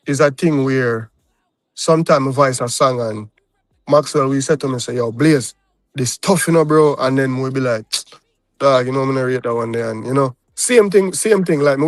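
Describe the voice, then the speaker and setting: A man's voice: mid-range voice, no room sound. A young adult man from Jamaica with a Jamaican accent, talking casually as an interview guest and often checking in with "you understand?". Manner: laid-back, peppers talk with "you understand?". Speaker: recording artist guest.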